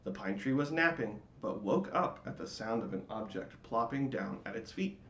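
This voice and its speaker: A man giving a dramatic, theatrical reading of a children's storybook in measured phrases. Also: lowish voice, lively intonation, fairly bright tone, roomy sound, dull audio, slight background noise.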